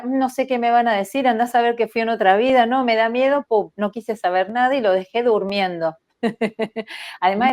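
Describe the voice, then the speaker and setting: A woman, at a middle pitch for a woman. She tells her story as an interview guest, reflective and measured, and grows more animated when she quotes her own thoughts. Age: 60s. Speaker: spiritual therapist guest.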